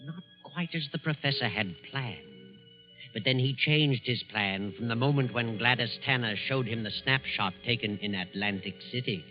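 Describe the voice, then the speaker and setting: An American man narrating in a measured, ominous, dramatic 1940s radio style with weighty pauses. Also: mid-range voice. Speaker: narrator and announcer. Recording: dull 1940s radio broadcast with music. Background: dramatic music.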